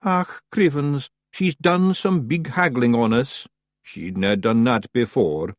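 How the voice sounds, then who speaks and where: A man voicing tiny panicky fairy men who wail in squeaky Scottish voices. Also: takes it slowly, no echo, clean studio sound, natural voice. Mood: worried. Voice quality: wailing, squeaky.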